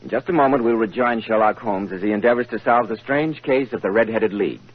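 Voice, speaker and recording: A man's voice: on the low side, fast talker, over loud music. A man reading a scripted 1940s radio advertisement as the show's announcer, in an emphatic salesman manner, on a muffled old recording. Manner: smooth, emphatic salesman delivery.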